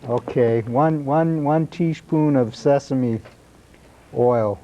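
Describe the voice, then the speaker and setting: A man's voice: medium pitch, slow, dark tone. A man hosting a call-in show, taking callers in a friendly way. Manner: friendly, repeats call-in prompts. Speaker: host. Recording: dull lo-fi broadcast recording.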